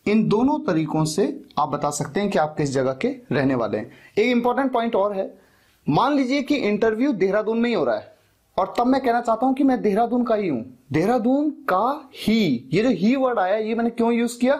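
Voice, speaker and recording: Indian guy teaching english, hindi native, slightly raspy voice, repeats examples really deliberately. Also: sits high, lively intonation, darkish tone. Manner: deliberate, emphatic repetition of examples. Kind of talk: instructional lesson, explaining with example sentences.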